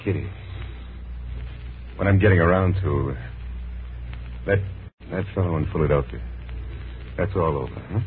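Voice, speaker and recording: An actor playing an earnest, awkward suitor with a halting manner in a 1940s radio drama, on a thin, noisy recording. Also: male voice, low voice, phone-quality sound, natural voice. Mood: earnest, eager. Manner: halting, bashful.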